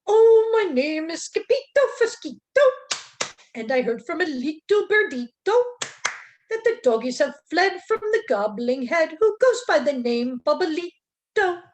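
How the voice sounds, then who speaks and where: A woman's voice: sits high, very animated, normal speed, a little hiss or hum. A woman voicing a boastful young male kitten who plays a masked hero, sing-song with an exaggerated accent.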